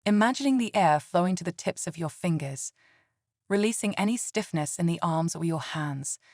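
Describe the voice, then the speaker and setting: Woman, meditation guide, lowish pitch, gentle soothing delivery, scripted, dry close sound. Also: animated, normal speed, dry recording.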